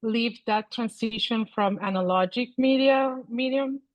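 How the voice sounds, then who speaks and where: Venezuelan lady in her 40s on a video call, halting and thoughtful, lots of restarts, dry close sound. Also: on the high side, no echo, dull audio. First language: Spanish. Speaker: guest artist. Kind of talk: casual interview over video call.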